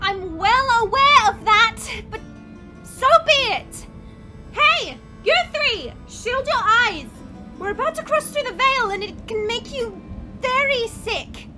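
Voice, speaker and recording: A woman's voice: extremely high pitch, expressive, takes it slowly, music in the background, exaggerated voice. A woman playing a patient, dignified dragon princess in a fantasy audio drama, speaking in a poised, formal way. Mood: resolute.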